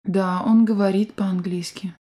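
A woman with a soft, mellow voice reads a language-course drill. She speaks slowly and articulates every word with care, like a studio lesson recording. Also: medium pitch, steady tone. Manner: slow, clear, carefully articulated.

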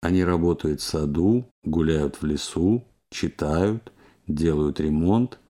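A man reading scripted phrases and questions for a language course, slowly and with careful, clear enunciation. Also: rumbling low, mellow.

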